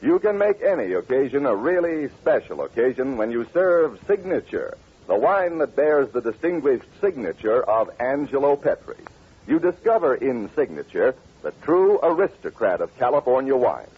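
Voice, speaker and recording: Husky American old-time radio announcer man. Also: mid-range voice, very animated, normal speed. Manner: polished, emphatic salesmanship. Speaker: announcer and sponsor pitchman. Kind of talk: 1940s radio advertising and announcing. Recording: tinny, scratchy old radio recording.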